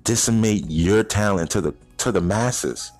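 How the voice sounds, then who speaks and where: A man's voice: lowish voice, moderate pace, a little mellow, soft background music. A man in his thirties hosting an informal motivational podcast, speaking straight to listeners in slangy language with rhetorical questions. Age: 30s. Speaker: podcast host.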